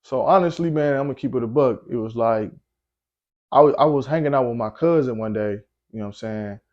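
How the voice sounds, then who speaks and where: A young man from Milwaukee, a rapper guest in a casual interview, telling stories in a relaxed way with lots of slang and fillers. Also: brisk, dull audio. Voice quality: deep voice. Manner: laid-back storytelling with slang and frequent fillers.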